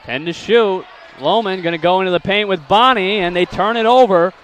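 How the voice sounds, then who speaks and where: A man calling basketball play-by-play, with quick calls that jump in volume on big plays and steady runs of statistics. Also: high voice, a little hiss or hum. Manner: rapid calls rising loud on big plays, steady stat rundowns. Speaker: play-by-play announcer.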